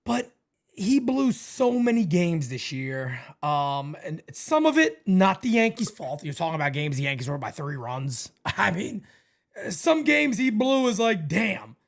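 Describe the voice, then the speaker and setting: A man co-hosting a casual sports chat show, talking in an animated way that swings into loud excited outbursts. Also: sits high, unhurried, creaky. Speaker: podcast co-host.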